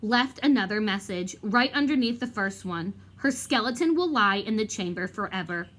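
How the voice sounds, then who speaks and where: A woman's voice: mid-range voice, expressive, moderate pace, a bit bright. Woman reading as a stern, commanding lady professor. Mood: grave. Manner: grave, authoritative.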